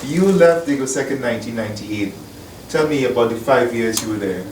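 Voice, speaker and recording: A male interviewer puts plain, measured questions to his guest at a middle pitch. He sounds far from the microphone. The room is echoey and a steady background noise runs underneath. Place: newspaper office. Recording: echoey, distant mic, clear noise.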